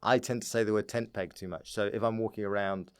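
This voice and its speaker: A man's voice: lowish voice, fairly even intonation, quick pace, clear, resonant tone. A man speaking as a comedian guest on a podcast, chatting casually in a rambling, jokey way. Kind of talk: casual comic chat.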